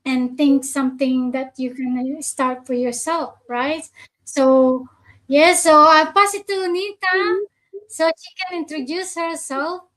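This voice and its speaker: A woman's voice: high-pitched, fairly bright tone, low-quality recording. A woman from the Philippines hosting a live online conversation, talking hesitantly with many fillers and restarts. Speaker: host of a live online chat. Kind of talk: casual live stream conversation.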